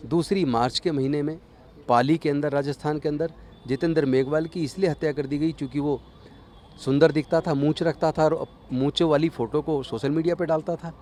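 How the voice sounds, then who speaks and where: A man's voice: fairly high pitch, fairly even intonation, a little fast, dark tone. A man speaking earnestly and emphatically in phrases, giving a political statement to a news reporter. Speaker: politician interviewee. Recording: noisy outdoor urban interview.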